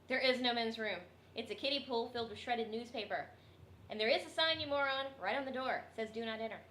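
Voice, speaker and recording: A woman playing a deadpan, unsympathetic co-worker in a comedy radio sketch. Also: fairly high pitch, fast, slight background noise.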